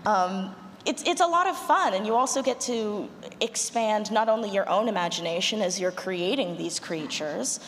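A woman's voice: medium pitch, lively intonation, moderate pace, a bit bright. A woman with a mid-range voice speaks unscripted as a creative panelist. The sound is reverberant, like a large hall, with a light layer of noise around her. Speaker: panelist, audio drama writer and performer. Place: large panel room at a convention. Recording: echoey hall, noisy, roomy pickup.

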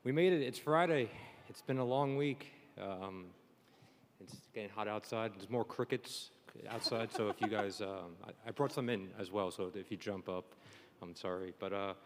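A man with a lowish voice hosts a live panel in a chatty, joking way. The sound carries the long reverb of a conference stage. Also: moderate pace. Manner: chatty, jokey. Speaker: panel moderator. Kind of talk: informal live panel hosting.

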